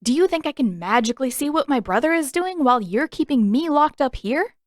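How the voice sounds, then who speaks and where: A woman's voice: sits high, lively intonation, a little fast, dry recording, studio quality, voice pushed up. A woman voicing a defiant, hot-tempered teenage girl with a sarcastic, indignant manner in an audiobook. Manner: indignant, mocking, emphatic. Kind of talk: audiobook character acting.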